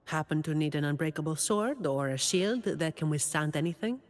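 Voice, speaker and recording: A woman's voice: deep voice, animated, normal speed, long reverb tail. A woman voicing a dutiful, weary young woman guardian in a video game, dry and composed with a confident edge. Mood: solemn, resolute. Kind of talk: video game character voice lines.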